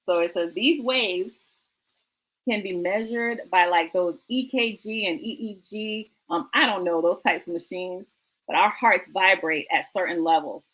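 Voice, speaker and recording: A woman's voice: medium pitch, measured pace, a bit bright, low-quality recording. A woman hosting a book talk show, speaking conversationally and enthusiastically in an emphatic, exhorting way that repeats phrases for effect.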